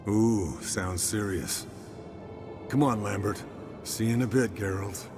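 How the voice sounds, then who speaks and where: An actor playing an easygoing, teasing monster hunter in a fantasy video game, with a laid-back, wry manner. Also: male voice, lowish voice, a little slow, in a large hall, music in the background. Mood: teasing, relaxed. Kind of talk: cinematic game voice acting.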